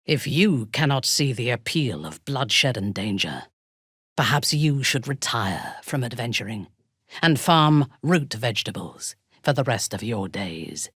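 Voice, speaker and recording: A ruthless female warrior commander from a fantasy video game. Her voice is deep and breathy, recorded close and dry. She speaks with slow, haughty menace and dark amusement, in formal, cutting words. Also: sing-song, no room sound, exaggerated voice. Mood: cold disdain. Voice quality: husky, breathy. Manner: haughty, measured, formal diction with biting disdain. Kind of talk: video game character dialogue.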